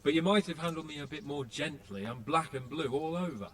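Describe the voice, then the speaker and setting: An actor playing a wounded but jovial young lord in a game, playful and teasing. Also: male voice, fairly high pitch, animated, fairly quick, fairly bright tone, a little hiss or hum. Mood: teasing, grateful. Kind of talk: video game cutscene acting.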